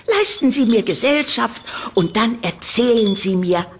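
A woman playing a condescending older landlady in an audio horror drama, sugary and dismissive, with a patronising, drawn-out delivery. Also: on the high side, lively intonation, husky. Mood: sweetly soothing.

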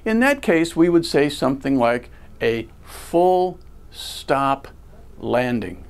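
An American man in his sixties with a medium-pitched voice delivers an instructional lecture. He speaks very slowly and deliberately, taking things step by step like a ground school teacher. He is recorded on a lapel mic in a studio. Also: very animated, clear, resonant tone. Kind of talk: instructional lecture to camera with rhetorical questions. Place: indoor video studio. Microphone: lavalier clipped to shirt.

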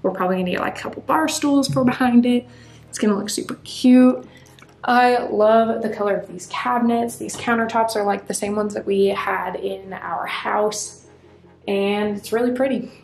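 A young woman giving a chatty, excited tour of an empty apartment, filming herself with a handheld camera. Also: medium pitch, moderate pace, creaky. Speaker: vlogger. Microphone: handheld camera at arm's length. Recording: echoey empty room with faint music.

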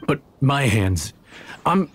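A man voicing a grumpy, reluctant inn chef in an anime video game, gruff and dramatic. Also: medium pitch, very animated, takes it slowly, fairly bright tone, a little hiss or hum. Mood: uneasy. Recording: game music behind.

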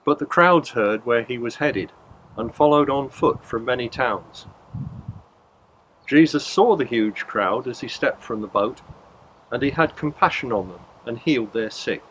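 A man's voice: mid-range voice, unhurried, slight background noise, low-quality recording. Older man reading scripture steadily. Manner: plain, steady storytelling reading. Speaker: lay reader.

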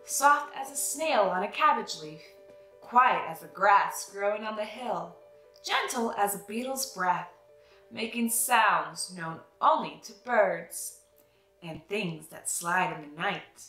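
A young adult woman reading a children's picture book aloud with expressive, dramatic phrasing. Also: mid-range voice, takes it slowly, reverberant, faint music behind, rough recording. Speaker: children's read-aloud host.

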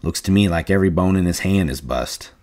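Man playing a level-headed ranch hand, low-pitched, terse, stern, commanding, audiobook story. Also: brisk, a little mellow. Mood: grave. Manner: terse, commanding, rustic American speech.

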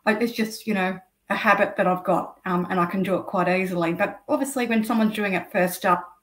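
A woman's voice: medium pitch, a little fast. A middle-aged woman with an Australian accent, explaining at length as an interview guest, with many ums. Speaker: guest, travel blogger. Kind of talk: video call interview. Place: indoor room. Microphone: video call. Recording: echoey room over video call.

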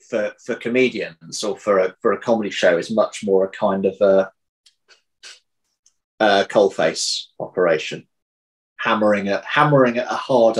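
A man's voice: fairly low pitch, low-quality recording. A man in his thirties talking casually and reflectively in an interview, rephrasing as he thinks aloud on a video call. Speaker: audio drama writer, interview guest. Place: home room, indoors. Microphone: video call, computer at arm's length.

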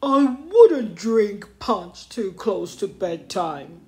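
Woman playing a calm, sensible male ape, slow-spoken. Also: medium pitch. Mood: calm, curious.

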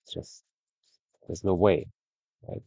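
A man giving a relaxed, conversational screen-share review of trading charts, often ending points with "right?". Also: slow, no echo, lo-fi sound. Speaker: trading educator.

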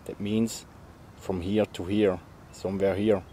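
A man's voice: deep voice, little pitch movement, unhurried, warm tone, breathy edge. A man, explaining a bushcraft task step by step in a forest. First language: German. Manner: plain, friendly, step-by-step explaining. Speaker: bushcraft instructor. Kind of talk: outdoor tutorial demonstration. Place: forest outdoors.

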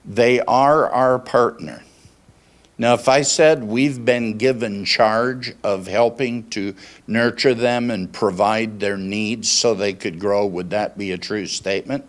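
A man in his sixties preaching conversationally, in deliberate phrases, putting questions to his congregation into a handheld microphone. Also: lowish voice, steady tone. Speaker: pastor. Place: small church meeting room, indoors. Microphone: handheld microphone held at chest.

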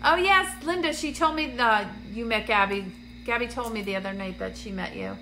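A bright-voiced woman chats on a phone live stream from a dim room, greeting viewers by name between plenty of ums. She sounds congested and a touch raspy, with a faint hum behind her. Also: on the high side, moderate pace, low-quality recording. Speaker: medium hosting a live stream. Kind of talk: casual livestream chat to viewers. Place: dim indoor room, seated on a couch. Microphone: phone camera at arm's length.

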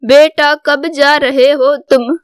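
A woman voicing a proud, devoted older mother in a cartoon story, solemn and measured. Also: high voice, steady tone, dry recording, clean studio sound. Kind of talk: scripted Hindi cartoon story dialogue.

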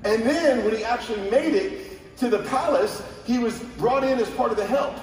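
A man's voice: extremely high pitch, moderate pace, a little hiss or hum. A man preaching a conversational sermon with rhetorical questions, speaking directly to listeners over a PA in a large auditorium. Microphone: heard over PA from audience seats. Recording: very echoey hall from far off.

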